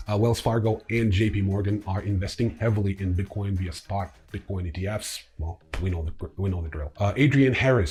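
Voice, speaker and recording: Male newsreel host: fairly deep, distinctly husky delivery, reading prepared stories with informal, opinionated commentary. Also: darkish tone. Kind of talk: scripted news narration with casual opinionated asides.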